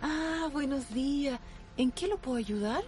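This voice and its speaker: A woman's voice: high voice, expressive, unhurried, darkish tone, slight background noise. An actress playing an affectionate middle-aged Chilean mother in a radio drama, speaking in a tender, homely way. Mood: puzzled, uneasy. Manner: tender, doting, diminutive-laden speech.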